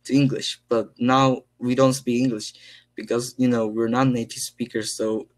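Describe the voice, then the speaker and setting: A teenage boy with a Korean accent, speaking careful, halting English full of fillers. He is on a video call from a small room at home, picked up by his laptop mic. His voice sits at a middle pitch for a young man. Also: flat delivery. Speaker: English learner. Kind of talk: casual conversation practice.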